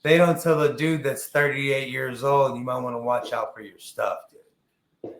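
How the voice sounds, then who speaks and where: A man's voice: mid-range voice, fairly even intonation, measured pace, gravelly. Rambling, slangy man in an echoey room. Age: early 40s. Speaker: podcast host. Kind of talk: casual chat interview. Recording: echoey, roomy sound.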